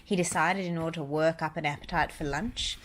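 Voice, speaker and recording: Low-pitched woman reading a children's story. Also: fairly quick, a little hiss or hum. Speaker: storybook reader, parent. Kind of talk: children's story read-aloud.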